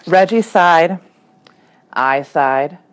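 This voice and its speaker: Animated woman reading a children's storybook. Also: lowish voice, very slow, slight background noise. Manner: animated, acts out the dialogue. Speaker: third grade teacher reading aloud. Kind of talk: expressive children's storybook read-aloud.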